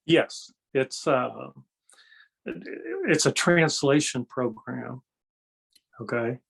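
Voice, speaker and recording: A man's voice: medium pitch, slow, vocal fry, husky. An older man speaking as an interview guest, explaining and telling anecdotes in a folksy, conversational way with frequent check-in tags. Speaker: interview guest, energy healer and kung fu master.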